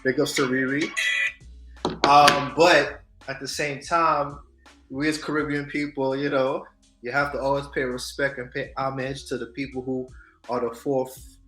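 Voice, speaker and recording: Animated male host in an echoey room. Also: medium pitch, moderate pace. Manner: animated, swings between loud hype and soft asides. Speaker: podcast co-host. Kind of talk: casual chat on news and culture. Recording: echoey room with faint music.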